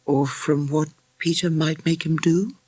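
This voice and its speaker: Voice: mid-range voice, steady tone, measured pace, darkish tone, slightly rough, no room sound. A storybook reader voicing a perceptive, easygoing mother who speaks lightly and matter-of-factly. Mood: light, breezy.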